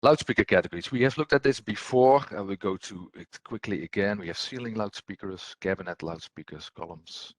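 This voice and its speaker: A man presenting technical training as an instructor, explaining step by step in a hesitant, halting way with frequent ums and repeated words, his voice husky. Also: lowish voice, dry recording, poor audio.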